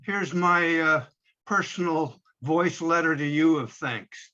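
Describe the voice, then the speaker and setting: A man speaking politely and deliberately as a participant in an online meeting, over lo-fi call audio. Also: fairly high pitch, pushed and bright. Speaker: meeting participant asking questions. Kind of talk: courteous remarks at an online meeting.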